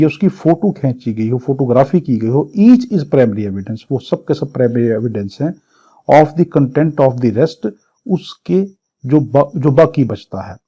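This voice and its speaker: A Pakistani man delivers an exam-prep law lecture in a soft-edged, dark voice of average pitch. He reads out clauses, then explains them didactically, repeating points and asking questions he answers himself. The recording is somewhat dull and lo-fi. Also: breathy edge.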